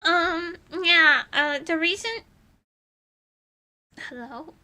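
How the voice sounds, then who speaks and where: A woman chats casually to livestream viewers in a high, piercingly bright voice that is strained from screaming. She takes her time, looping back over phrases with a playful, theatrical air. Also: extremely slow. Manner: rambling, repeats phrases. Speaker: VTuber livestream host.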